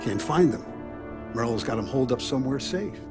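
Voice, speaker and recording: An actor playing a shrewd mob boss who gives orders in a measured, authoritative way. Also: male voice, mid-range voice, expressive, close to an everyday voice. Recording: game cutscene with music.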